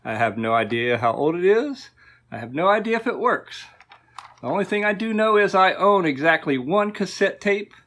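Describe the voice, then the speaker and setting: A man's voice: a little high, a bit bright. A man hosting a casual repair video, talking informally and thinking aloud as he works. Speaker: host of an electronics repair video. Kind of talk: casual workbench vlog narration.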